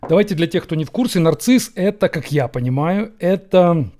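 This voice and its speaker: A man from Ukraine, hosting a question-and-answer show, musing on viewers' questions with frequent pauses and fillers. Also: on the high side, expressive, mellow. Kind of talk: Q&A monologue. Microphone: camera setup.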